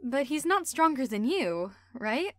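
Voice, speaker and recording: A woman's voice: high voice, sing-song, a little slow, fairly bright tone, dry recording, studio quality, full character voice. A woman voicing a worried young friend in an amateur roleplay, concerned in tone.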